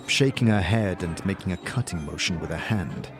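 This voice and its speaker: A man narrating a fantasy audio drama in the second person, with measured storytelling. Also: deep voice, faint music behind. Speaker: narrator and player character.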